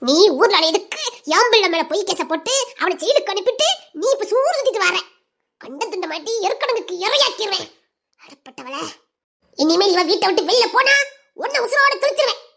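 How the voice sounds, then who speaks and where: A woman plays a menacing middle-aged mother in a melodrama, scolding viciously. The voice is extremely high, piercing and forceful, full of threat. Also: animated, a little fast, gravelly, exaggerated voice. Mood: furious. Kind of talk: melodramatic drama acting.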